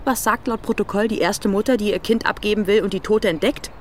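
An actress playing a matter-of-fact, dryly teasing police detective who briefs crisply in a German radio crime drama. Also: female voice, medium pitch, a little fast, slight background noise, natural voice. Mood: businesslike.

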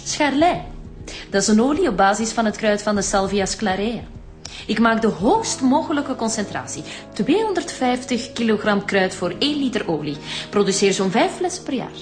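A woman's voice: fairly high pitch, very animated, normal speed, faint music behind. A woman playing a haughty, aloof wife and hobby perfumer in a radio drama. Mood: proudly precise. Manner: cool, condescending. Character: haughty, aloof wife who distills essential oils.

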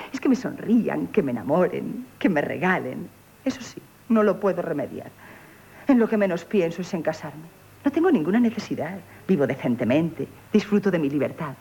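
A woman playing a proud, flirtatious, independent innkeeper in a radio comedy, self-assured and brisk, with a Castilian Spanish accent. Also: sits low, a little mellow, breathy edge, heavily acted. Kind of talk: theatrical radio comedy acting.

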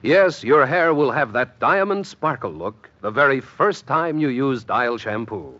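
Animated radio ad announcer, man. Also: mid-range voice, a little slow, soft background music. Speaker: commercial announcer. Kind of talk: 1950s radio advertisement, scripted pitch. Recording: muffled vintage radio recording.

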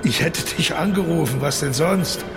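A giddy middle-aged author in a radio play, high-ish gravelly voice, really animated. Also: male voice, moderate pace, music in the background. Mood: breezy, excited. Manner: animated, expansive, declamatory. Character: exuberant middle-aged male dissident writer, boyish and euphoric. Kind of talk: radio drama acting.

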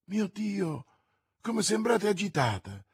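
A man's voice: sits high, animated, moderate pace, a little mellow, slightly rough, no echo, clean studio sound, voice pushed up, exaggerated voice. A man voicing an anxious, lovestruck young woman, proud and trusting, as a character in an audiobook. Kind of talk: audiobook character voice, classic novel.

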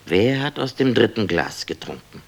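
An actor playing a brooding, confiding male storyteller in a radio drama, musing in a measured, husky voice. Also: fairly low pitch, sing-song, full character voice. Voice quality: gravelly, husky.

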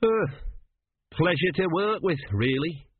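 A man reading an audiobook, voicing a weary, patient veteran male embalmer who talks slowly and matter-of-factly. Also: on the high side, lively intonation, a little hiss or hum, pitched above the performer's own voice. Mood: musing. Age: middle-aged. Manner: slow, tired, matter-of-fact. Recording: tinny radio broadcast.

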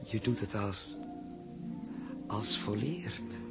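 A man's voice: fairly low pitch, very slow. An actor playing a weary, bewildered and anxious man in a 1960s Dutch radio play, speaking hesitantly and repeating himself. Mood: tender, reserved. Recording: 1961 radio recording, music behind.

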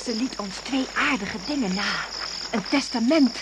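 A woman's voice: fairly high pitch, moderate pace, natural voice. An actress playing a composed, wry woman in a radio drama, speaking in a matter-of-fact way. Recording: noisy, hissy old radio recording.

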